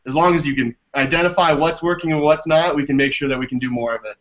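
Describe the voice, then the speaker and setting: A man's voice: a little high, fairly even intonation, fast, fairly bright tone. A man answering as an interview guest over a video call, explaining things conversationally. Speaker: interview guest, business development director. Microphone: video call microphone. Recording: dull lo-fi video call audio.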